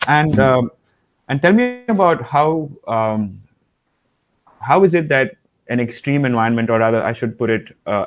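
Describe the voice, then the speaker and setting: A man hosting an interview over a video call, asking enthusiastic, rambling questions with fillers. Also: mid-range voice. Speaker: host. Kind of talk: podcast interview.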